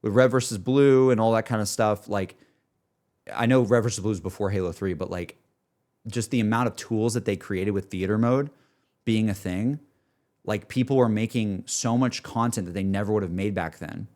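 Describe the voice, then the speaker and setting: A man's voice: lowish voice, moderate pace, darkish tone. A young adult man chatting casually on a livestream, rambling enthusiastically about gaming in a self-deprecating way. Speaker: streamer.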